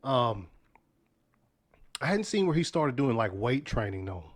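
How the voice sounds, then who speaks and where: A man's voice: medium pitch, sing-song, unhurried, breathy edge. A young man with a sniffly voice from sinus trouble, hosting a casual weekly news podcast in a loose, slangy way with lots of fillers. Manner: laid-back, loose slang, many fillers and restarts. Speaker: podcast host.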